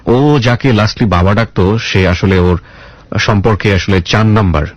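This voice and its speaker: Bangladeshi guy hosting radio interview, raspy voice, repeats stuff back as questions, dry dull sound. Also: fairly low pitch, normal speed, dry recording. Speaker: radio show host and interviewer. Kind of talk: probing interview with narration to listeners.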